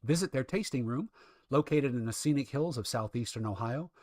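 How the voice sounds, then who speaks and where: A male announcer delivers a scripted sponsor spot for a radio drama broadcast. His pitch is mid-range and the recording is dry, with little room sound. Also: lively intonation, a little fast, darkish tone, no echo.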